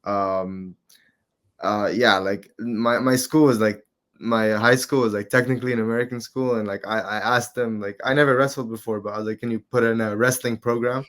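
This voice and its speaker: A man in his twenties from Qatar, a guest in a casual interview, talking in a relaxed, rambling way with lots of fillers. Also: lowish voice, normal speed. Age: 20s. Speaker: guest, amateur MMA fighter.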